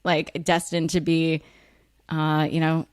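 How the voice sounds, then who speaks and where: A woman, talking candidly as an interview guest, frank and self-deprecating with lots of fillers and quick laughs. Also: sits low, completely flat delivery, smooth, no echo, studio quality. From United States. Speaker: author and guest.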